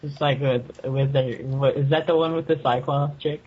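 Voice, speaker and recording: A man co-hosting a podcast in casual, joking banter, haltingly repeating his phrases. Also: medium pitch, fairly even intonation, a little mellow. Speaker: podcast co-host. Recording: muffled lo-fi podcast audio.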